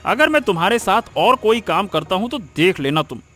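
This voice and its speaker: A man's voice: high voice, big pitch swings, fairly quick, sharp tone, faint music behind, heavily acted. A man playing an irritable, scheming cartoon husband who scolds with impatient sarcasm. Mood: irritated. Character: irritable scheming husband bickering with his wife. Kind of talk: Hindi moral-story cartoon dubbing.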